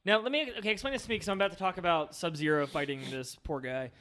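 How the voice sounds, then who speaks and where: A man's voice: high-pitched, very fast, a bit bright. A man co-hosting a film podcast in a casual, conversational style. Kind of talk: casual chat about a film.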